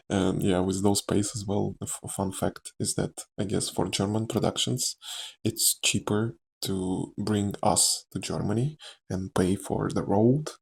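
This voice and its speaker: Soft-spoken man with a Slavic accent, gravelly. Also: lowish voice, fairly even intonation, measured pace. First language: Czech or another Slavic language. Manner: soft-spoken, rambling and candid, with frequent fillers and quiet laughs. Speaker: actor. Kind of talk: casual ASMR chat.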